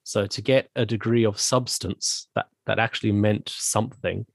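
Low-voiced man from Zimbabwe. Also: steady tone, creaky, no echo. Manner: thoughtful, with pauses and restarts. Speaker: guest, church elder. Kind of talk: conversational interview.

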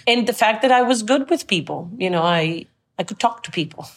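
A woman's voice: medium pitch, lively intonation, brisk. A middle-aged woman from Colombia whose first language is Spanish, a guest telling her career story in an earnest, reflective way. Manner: reflective, earnest, recounts with quoted dialogue. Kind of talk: conversational interview storytelling.

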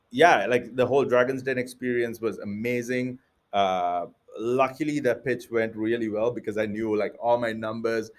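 A man from Sri Lanka, an entrepreneur guest, answering interview questions casually with many fillers and restarts. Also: lowish voice.

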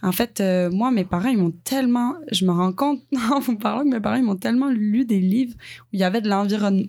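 Young Quebec woman, hesitant and searching, mid-pitched voice. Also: fast talker, a little mellow. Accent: Quebec French. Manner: hesitant, many fillers and restarts. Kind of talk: casual discussion of a children's book project.

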